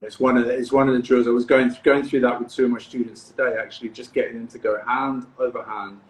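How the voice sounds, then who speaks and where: A man from the UK, a freediving coach, hosting a casual podcast interview in a relaxed, encouraging way, often repeating words as he starts a thought. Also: medium pitch, quick pace, echoey room, a little hiss or hum, rough recording. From United Kingdom.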